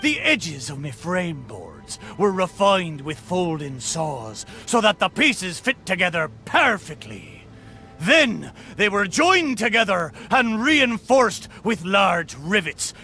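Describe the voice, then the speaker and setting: A man's voice: high voice, pushed and bright, full character voice. A man voicing a boastful, good-humored spirit of an old pirate ship in a video game, talking in hearty, swaggering pirate style. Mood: indignant, boastful. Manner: hearty, bragging, swaggering pirate talk with "arr". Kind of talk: video game character acting.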